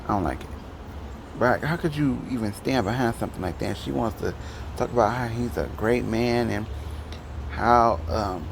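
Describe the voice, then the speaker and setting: A man's voice: medium pitch, gravelly, noisy background. A man from Detroit with a Detroit accent, ranting his opinions in a rambling vlog. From Detroit, Michigan. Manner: heated, rambling, talks to the camera. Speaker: vlogger.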